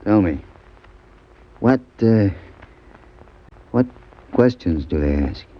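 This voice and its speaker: A man playing a big, shifty pool parlor owner of about forty in a radio crime drama, with a husky voice and an urgent, insistent manner. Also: fairly low pitch, animated, takes it slowly, a little hiss or hum. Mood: uneasy, furtive. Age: middle-aged. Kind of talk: old-time radio crime drama acting. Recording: dull vintage radio recording.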